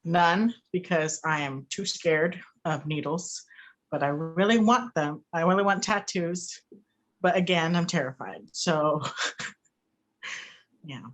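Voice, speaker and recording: A woman's voice: on the low side, slow. A woman of twenty-three giving brief, candid interview answers.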